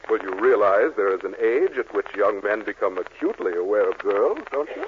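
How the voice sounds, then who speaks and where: A man's voice: on the low side, sing-song, fairly quick, muffled recording. A man acting a pompous, clinical middle-aged psychiatrist in a 1940s radio comedy. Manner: pompous, clinical, growing exasperated. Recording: scratchy 1940s radio broadcast with hiss.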